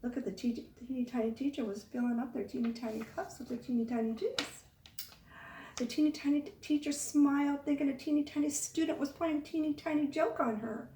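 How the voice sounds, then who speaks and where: A woman reading a picture book aloud to young children, expressive, pausing to ask the listeners questions. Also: sits high, slight background noise. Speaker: children's storytime reader. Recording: echoey room, far from mic.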